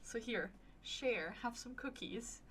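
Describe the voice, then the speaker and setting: A woman's voice: fairly high pitch, takes it slowly, noisy background. A woman streamer chatting casually over a game, playful, rambling and reactive, with music behind her. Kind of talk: casual live stream commentary. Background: video game music.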